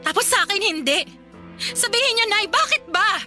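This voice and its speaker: Aggrieved caregiver daughter played by an actress, high and sharp, pleading, emotional radio drama. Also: female voice, expressive, normal speed, full character voice. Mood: hurt, pleading. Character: hurt, unloved adult daughter caring for ailing mother. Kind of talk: Tagalog radio drama acting. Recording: music bed behind the voice.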